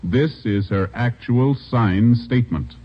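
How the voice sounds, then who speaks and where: A man announcing a 1950s radio show and its sponsor in a formal, measured manner. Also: mid-range voice. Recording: tinny old radio recording.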